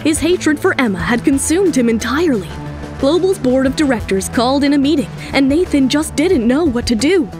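High-pitched woman narrating drama over music. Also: expressive, fairly quick. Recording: music under the voice. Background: dramatic background music.

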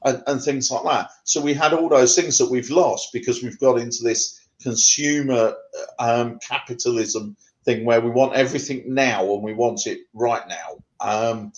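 Middle-aged English poet, rhythmic rhyming delivery, home video call. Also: male voice, mid-range voice, steady tone, moderate pace, muffled recording. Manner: rhythmic rhyming recitation, then conversational with fillers. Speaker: poet guest. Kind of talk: poetry reading then interview answers. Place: home room.

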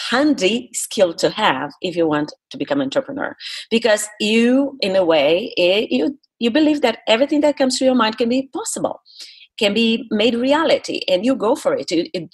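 A woman's voice: mid-range voice, husky. A middle-aged woman with a Brazilian accent and Portuguese as her first language, telling her story conversationally in an interview, explaining with emphasis. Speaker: podcast guest, speaker and coach.

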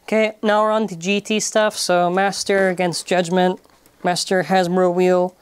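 A woman's voice: fairly low pitch, level tone, normal speed. A woman giving casual, offhand commentary while testing toys, stumbling over and repeating words. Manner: offhand, repeats words and restarts, many fillers. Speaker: toy-testing video host. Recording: loud noise, clicks behind voice.

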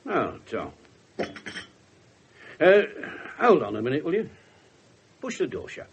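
A man playing a gruff, wry, irreverent English police inspector in a radio drama, blunt and husky. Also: on the high side, huge pitch swings, slow pace, muffled recording, a little hiss or hum, exaggerated voice. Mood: brisk. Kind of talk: 1970s radio drama acting.

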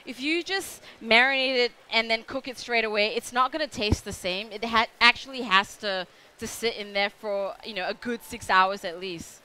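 A woman's voice: fairly high pitch, normal speed, few pauses, bright, forceful tone, clear, resonant tone. A young woman with an Australian accent giving a live cooking demonstration on a food show stage, chatty and rambling with many fillers.

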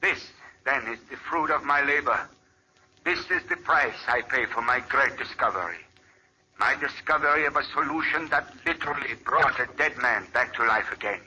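A remorseful old-time scientist who reads out his own journal in grave, measured tones. The voice is very bright and ringing, with faint hiss from an old radio broadcast. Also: mid-range voice, rough recording. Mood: anguished. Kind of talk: 1940s radio acting.

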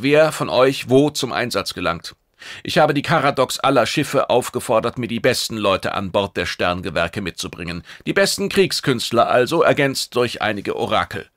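A man's voice: medium pitch, no echo, studio quality, voice pushed up, natural voice. A man voicing an imperious alien warlord, raised voice. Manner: forceful, declamatory, imperious. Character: commanding male alien warlord briefing his troops, imperious.

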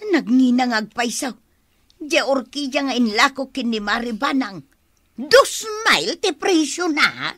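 High, bright, wailing village woman. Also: animated, measured pace, no echo, exaggerated voice. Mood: dismayed. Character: wailing, aggrieved village woman plant seller, comic. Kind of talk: radio comedy drama acting.

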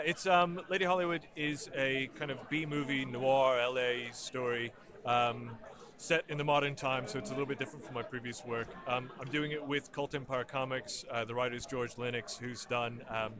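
A man's voice: medium pitch, fairly even intonation, moderate pace. A man, a comic artist, talking casually about his new comic at a convention, hesitant and searching for words. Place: convention floor. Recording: noisy convention crowd behind.

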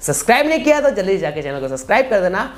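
A man's voice: sits high, big pitch swings, racing. A man in his twenties with an Indian accent, hosting a casual step-by-step tutorial in a repetitive, instructional way. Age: 20s. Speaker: YouTube tutorial host.

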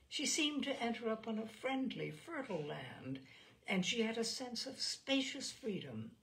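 A woman reading literary fiction aloud as a storyteller, in a measured, expressive audiobook style. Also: mid-range voice, gravelly.

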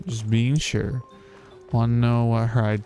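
A man's voice: mid-range voice, level tone, a little slow, dark tone. A man live-streaming a game, chatting casually, reading aloud and muttering reactions with casual swearing. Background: video game music and sounds.

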